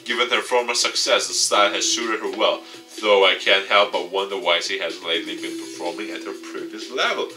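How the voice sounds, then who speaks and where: Low voiced guy doing a let's play, reading game text aloud, bit far from the mic. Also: fairly quick, few pauses, over music. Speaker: gaming video host.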